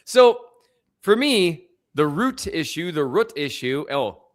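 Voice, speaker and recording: A man's voice: a little high, very animated, takes it slowly. A man with a slight Minnesota accent co-hosting a sports podcast, chatting casually in a rambling, self-deprecating way. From upper Midwest and Missouri. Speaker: podcast co-host.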